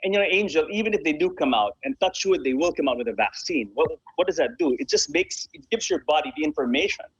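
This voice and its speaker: Quick talking guy, husky voice, dull lo-fi audio, chatting as interview guest, emphatic. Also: a little high, very animated. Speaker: interview guest, sports association founder and honorary consul. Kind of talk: conversational radio interview.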